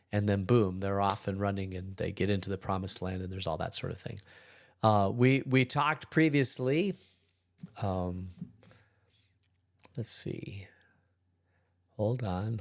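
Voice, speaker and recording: A middle-aged pastor with a hoarse, congested voice, leading a relaxed, rambling Bible study. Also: male voice, fairly low pitch. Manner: relaxed, rambling, chuckles between thoughts. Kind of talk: casual daily Bible study talk.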